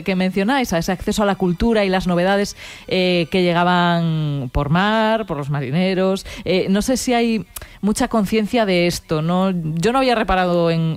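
A woman's voice: lowish voice, smooth, no echo. A woman hosting an interview, asking long, winding questions with many fillers. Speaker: host.

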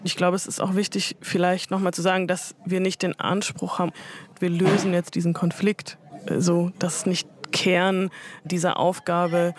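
A woman giving thoughtful interview answers in long, measured sentences as a dialogue group organizer. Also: on the low side, level tone.

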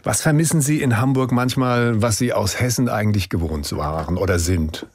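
A man hosting a radio talk show, interviewing a guest in a calm, measured way from a studio. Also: medium pitch, dry recording.

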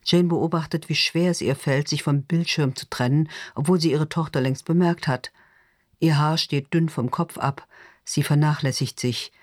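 A man's voice: on the high side, steady tone, normal speed, no echo, studio quality. A man narrating literary fiction in a scripted audiobook reading style.

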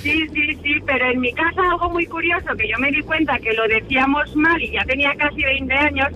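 A woman from Valencia calling in to a radio show, chatty and animated as she tells a family anecdote, with a Valencian accent. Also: high voice, a little fast, highs cut off, slight background noise. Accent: Valencian Spanish. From Valencia, Spain. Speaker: radio caller. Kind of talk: phone-in anecdote.

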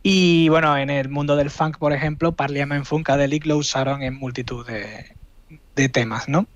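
A man giving an informal, explanatory radio music segment, often pausing mid-phrase and tagging his points for agreement. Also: fairly high pitch, no echo, highs cut off. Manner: halting, explanatory, seeks agreement with tags. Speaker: radio music section contributor. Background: music playing underneath.

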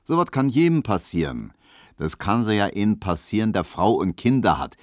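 A man voicing a calm, philosophical family man in a storybook reading, measured and consoling. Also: lowish voice, no echo, studio quality. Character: shop customer-turned-comforter, calm philosophical family man.

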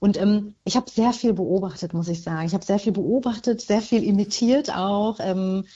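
A woman's voice: medium pitch, moderate pace, warm tone, slightly rough, highs cut off. A middle-aged woman from Germany answering as an author in an interview, reflective and hesitant, repeating words for emphasis.

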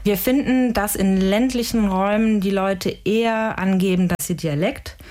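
A woman's voice: medium pitch, normal speed, a little mellow. A woman researcher giving careful, analytical answers in a radio interview, often framing points as rhetorical questions. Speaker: language researcher, interviewed expert.

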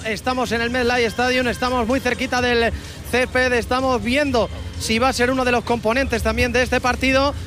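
Male sports reporter, Spain accent, extremely high pitch, live stadium preview. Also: fairly bright tone.

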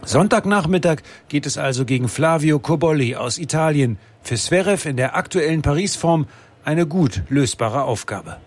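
A man giving a scripted sports report as a correspondent, in a steady, matter-of-fact way. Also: a little high, moderate pace.

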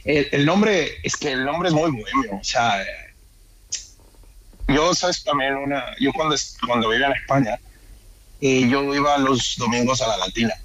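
A man with a Madrid accent speaks at an average pitch. He chats informally as an interviewed restaurateur, peppering his talk with question tags and occasional English words. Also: normal speed, a crowd in the background, poor audio. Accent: Madrid Spanish. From Spain, born in Ecuador and raised in Madrid. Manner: colloquial, with frequent question tags and English loanwords. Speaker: restaurant owner being interviewed. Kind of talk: casual interview chat.

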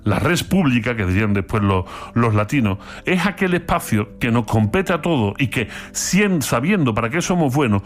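A man's voice: mid-range voice, big pitch swings, moderate pace. Playful Andalusian man explaining philosophy. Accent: Andalusian Spanish. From Andalusia, Spain. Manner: playful, theatrical asides. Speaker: philosophy commentator. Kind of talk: explanatory radio talk.

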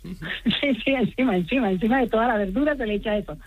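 A woman's voice: a little high, fast talker, phone-quality sound. A middle-aged woman from Colombia with a Colombian accent, calling a radio show and chatting in talkative, detailed lists. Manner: talkative, detailed, listing things. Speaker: radio phone-in caller. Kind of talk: chatty explanation of home cooking.

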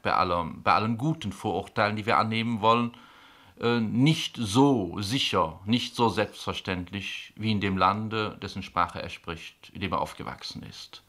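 A man as interviewer builds elaborate, formal questions. His voice is low yet bright and forceful. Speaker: host.

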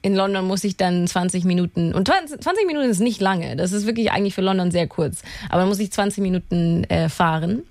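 A woman's voice: medium pitch, lively intonation, fairly quick, few pauses, darkish tone, no room sound. A chatty singer-songwriter with a Canadian accent, guesting on a radio show. She laughs easily and drops English words into her talk, with a dry, close studio sound. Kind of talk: radio interview, casual chat.

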